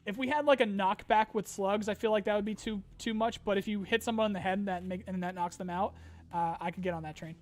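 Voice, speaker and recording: A man's voice: high voice, fairly even intonation, quick pace. A man streaming a casual chat, reading viewer questions aloud and answering loosely with fillers, a little tired and his voice getting strained.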